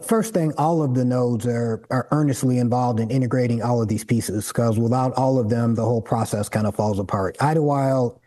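Brief: man from Detroit, interview guest, mellow warm tone, earnest and explanatory. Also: mid-range voice. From Detroit, USA. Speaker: community organizer guest. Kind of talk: interview answers.

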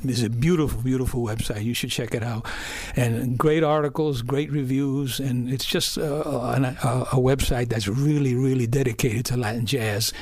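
Older male guest, hoarse, rambling conversational delivery, dry recording. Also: medium pitch, steady tone, moderate pace, hardly any pauses, darkish tone, no room sound. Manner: rambling, repeats words, restarts. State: hoarse from a cold. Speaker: musician and art director guest. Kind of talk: conversational interview. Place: in person in New York.

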